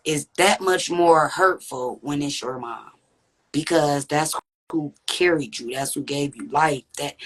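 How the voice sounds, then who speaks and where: A woman with a deep, raspy voice, speaking candidly and reflectively as a podcast guest. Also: poor audio. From Texas. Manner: reflective, earnest, with frequent "you know what I'm saying". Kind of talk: candid conversational interview.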